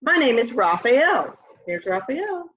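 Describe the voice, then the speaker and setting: Clear-voiced woman reading aloud, slightly muffled. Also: fairly high pitch, very animated, unhurried. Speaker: storybook reader. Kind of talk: children's book read-aloud. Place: room at home, by a window. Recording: slightly dull, lo-fi home webcam sound.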